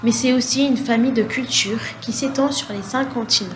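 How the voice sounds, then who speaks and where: A woman with a somewhat high voice presents a radio program, reading her script in a clear, measured way. A steady noise runs under her voice. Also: rough recording.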